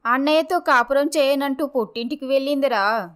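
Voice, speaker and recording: A woman's voice: high-pitched, brisk, lightly acted. A woman playing a blunt, scornful older village mother of grown sons in a dramatised story.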